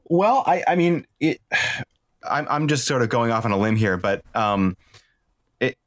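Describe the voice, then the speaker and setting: A man speaking casually as a podcast guest, explaining ideas about storytelling in a thoughtful, halting way. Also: mid-range voice, animated, dry recording, studio quality. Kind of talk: casual discussion of drama and storytelling.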